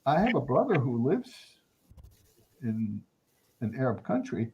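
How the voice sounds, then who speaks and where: A man's voice: medium pitch, sing-song, a little slow, a little mellow, lo-fi sound, a little hiss or hum. An older man, a guest anthropologist, answering interview questions in a thoughtful, hesitant way with many restarts and fillers. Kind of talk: reflective conversational interview answers.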